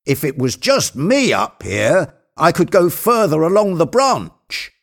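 A reluctant storybook manservant, put on by a male narrator. He speaks slowly and warily in a raised voice with lively swings of pitch. His manner is hesitant and protesting. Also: gravelly, exaggerated voice. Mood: wary. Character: reluctant, wary male servant calling out uneasily.

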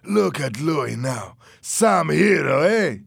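A man's voice: a little high, a little slow, gravelly, dry recording, clean studio sound, voice pushed up. A man acting a cruel, menacing henchman in a story reading, barking orders and threats in a mocking way. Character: cruel, menacing henchman with a rifle, obedient to boss.

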